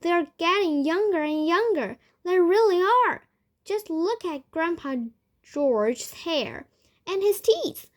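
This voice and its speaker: A woman voicing an excited old grandfather in a storybook, exclaiming loudly and urgently. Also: sits high, takes it slowly, voice pushed up. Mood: amazed. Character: excited, loud old grandfather, amazed and shouting. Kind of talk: children's storybook read aloud.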